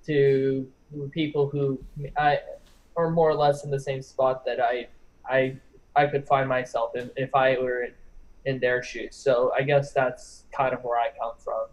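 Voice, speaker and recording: A young man chatting casually on a group call, hedging and halting as he goes, with some swearing. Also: mid-range voice, flat delivery, low-quality recording. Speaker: discussion participant. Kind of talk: casual chat.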